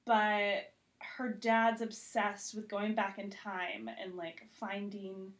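A woman's voice: mid-range voice, level tone, slow. A woman chatting casually as a podcast guest, conversational and giggly, with "like" sprinkled through her sentences. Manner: conversational, heavy use of "like", giggly. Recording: roomy, echoey sound.

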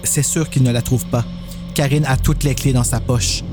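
A man's voice: mid-range voice, steady tone, moderate pace, over music. A man narrating a dramatized horror story as host and storyteller.

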